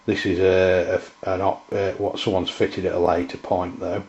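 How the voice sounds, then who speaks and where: A middle-aged man giving an informal, halting, unscripted product review in a room at home. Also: low voice, little pitch movement, darkish tone. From England. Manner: hesitant, halting, unscripted delivery. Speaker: presenter, radio hobbyist. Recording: muffled, roomy camera sound.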